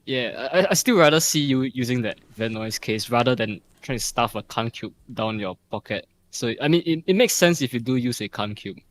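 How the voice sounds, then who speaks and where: A man with a middling pitch speaks as one of the panelists on a podcast. He talks in a loose, informal style of roundtable banter. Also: animated, moderate pace, few pauses.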